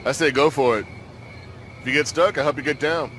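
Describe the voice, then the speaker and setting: A man's voice: fairly high pitch, fairly quick, fairly bright tone, slight background noise, natural voice. An actor playing a laid-back, joking male buddy in scripted comedic dialogue. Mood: encouraging.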